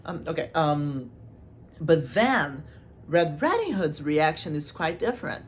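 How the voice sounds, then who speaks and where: A woman's voice: deep voice, sing-song, unhurried. A woman hosting a casual, chatty literature analysis, informal and playful with asides to the viewer. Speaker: host of a literature video series.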